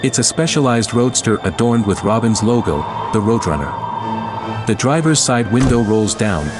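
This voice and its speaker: A man's voice: mid-range voice, lively intonation, moderate pace, over music. A man narrating an action audio drama from a script.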